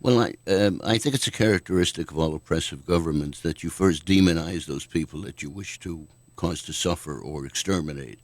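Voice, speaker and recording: An older man, a guest author, answering questions in a measured, thoughtful way on a radio talk show. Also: low voice, no echo. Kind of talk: radio interview.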